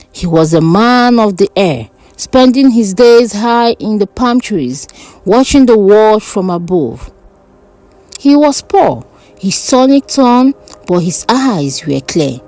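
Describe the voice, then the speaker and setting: A woman narrating a dark fantasy folk tale in a dramatic, deliberate way. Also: mid-range voice, dry recording. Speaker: storyteller. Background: background music.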